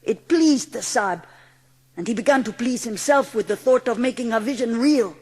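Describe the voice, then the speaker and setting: A woman voicing a gentle, watchful Indian manservant with formal, reverent phrasing in a dramatised audiobook. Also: a little high, moderate pace, husky, in a large hall. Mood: quiet, assured.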